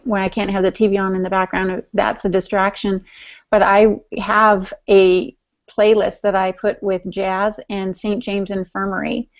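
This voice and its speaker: A woman co-hosting a podcast in casual, chatty fashion, with a teasing streak. Her voice sits at an ordinary middle pitch. The audio is dull and lo-fi. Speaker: podcast co-host and writer. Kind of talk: casual chat.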